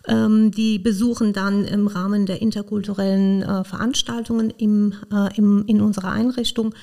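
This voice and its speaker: A woman who grew up in Iran, speaking German as a podcast guest. She answers in a hesitant way, with many pauses and fillers. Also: medium pitch, flat delivery, vocal fry, dark tone. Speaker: podcast guest, social worker. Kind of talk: casual interview talk.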